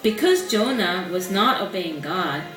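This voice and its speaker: A woman narrating a puppet show story in a simple, expressive, child-directed way. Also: mid-range voice, a little slow, recorded from a distance, noisy background. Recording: roomy sound with music behind.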